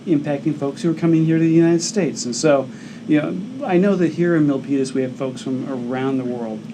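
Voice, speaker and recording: A man co-hosting a local TV talk show, easygoing and casual with fillers. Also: mid-range voice. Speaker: TV show co-host. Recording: local TV studio with noisy background.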